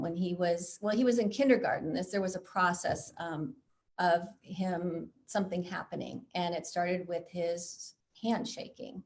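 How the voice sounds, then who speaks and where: A middle-aged woman from Chicago, an author being interviewed, chatting with frequent self-deprecating laughs and reading from her book. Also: fairly low pitch. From Chicago, USA. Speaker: author guest.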